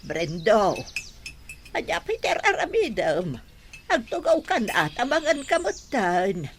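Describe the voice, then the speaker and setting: A doting old grandmother from a radio drama. She speaks slowly and emotionally, with her pitch swinging widely as she cares for the young ones. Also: big pitch swings, slight background noise. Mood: fussing, caring.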